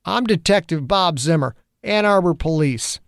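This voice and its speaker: A man voicing a gruff, combative older male police detective who swears bluntly. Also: a little high, lively intonation, normal speed, no room sound, studio quality, voice pushed up. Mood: angry. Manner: blunt, profane, confrontational.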